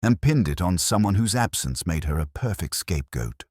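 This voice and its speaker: A man voicing a calm, quietly shrewd police inspector who lays out evidence in a measured, understated and methodical way. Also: deep voice, husky, dry recording, clean studio sound, heavily acted. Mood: quietly firm.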